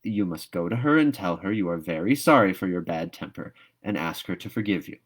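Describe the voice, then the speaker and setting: Storybook guardian, a stern middle-aged woman, read by a man: dry, measured, low-pitched, fair-minded. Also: animated. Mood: firm. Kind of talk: storybook read-aloud character voice.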